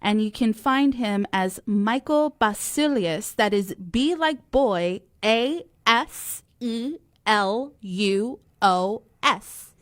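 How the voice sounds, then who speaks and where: Woman, radio co-host, unhurried delivery, slightly high voice, live talk show. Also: lively intonation, a bit bright.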